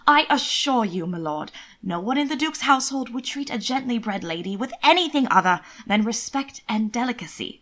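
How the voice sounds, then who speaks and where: A woman's voice: a little high, lively intonation, normal speed, hardly any pauses, fairly bright tone. A woman voicing a duke's male envoy in a period audiobook, proud yet careful, patient and politely insistent. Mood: offended courtesy.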